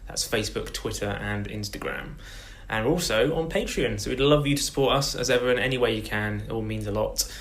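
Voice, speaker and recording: A man's voice: lowish voice, a little fast, fairly bright tone. A man co-hosting a podcast, talking in a casual, conversational way. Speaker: podcast co-host.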